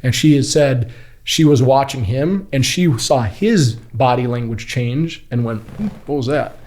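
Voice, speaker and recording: A man's voice: medium pitch, a little slow. A man telling anecdotes casually as an interview guest, in informal, slangy phrasing and acting out what people said. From Kuwait. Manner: animated retelling with acted-out quotes, informal slangy phrasing. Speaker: podcast guest, body-language instructor and former US Marine.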